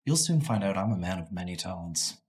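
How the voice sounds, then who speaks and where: A man's voice: sits low, animated, a little fast, a little mellow, breathy edge. An actor playing a scheming young son who taunts his sister and demands his inheritance. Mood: smug. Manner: smug, taunting, then indignant. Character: scheming young male heir, cocky rival son. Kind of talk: melodrama acting.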